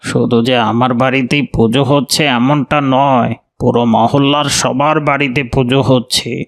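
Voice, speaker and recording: A gravelly cartoon neighbour, played by a male actor, overbearing and entitled. His creaky voice lays down orders with menace. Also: medium pitch, fairly even intonation, measured pace, lightly acted. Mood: threatening. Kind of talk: dubbed cartoon dialogue.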